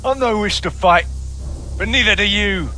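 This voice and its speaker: An actor playing a cynical, roguish mercenary captain in a video game, sardonic and swaggering, with a rough voice. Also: male voice, high voice, moderate pace, pushed and bright, soft background music, poor audio. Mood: defiant, measured. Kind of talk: dramatic video game dialogue.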